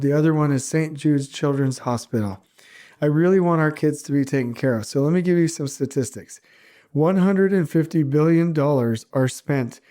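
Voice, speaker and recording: A man's voice: on the high side, dark tone. A man hosting his own podcast, talking casually with a stuffy nose from a cold, earnest and a little rambling. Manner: rambling, earnest, self-mocking. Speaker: podcast host. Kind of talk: casual solo podcast monologue.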